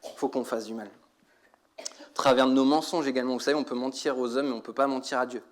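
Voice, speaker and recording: A man's voice: mid-range voice, steady tone, fast talker, slight background noise. Man preaching an exhorting sermon. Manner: exhorting, rhetorical questions to the congregation. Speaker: church preacher.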